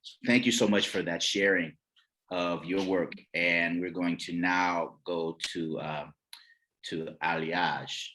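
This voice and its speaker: A man moderating an online poetry conference, who talks haltingly with frequent restarts. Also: low-pitched, fairly even intonation, pushed and bright. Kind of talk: conference conversation. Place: video call.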